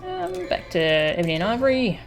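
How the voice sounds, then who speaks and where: A woman's voice: a little high, big pitch swings, a little fast, faint music behind. A woman streaming a video game, giving casual live commentary as she plays.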